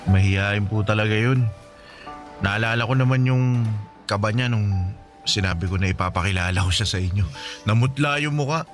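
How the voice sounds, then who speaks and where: A man's voice: lowish voice, moderate pace, pauses often, soft background music, natural voice. Respectful, lovestruck young son, played by a man. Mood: earnest, smitten. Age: young adult. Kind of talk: naturalistic radio drama acting.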